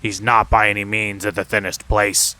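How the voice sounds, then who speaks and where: A man voicing a gruff, bossy older goblin father with a rough, deep voice in a storybook reading. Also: little pitch movement, normal speed, sharp tone. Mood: gruff, unhurried.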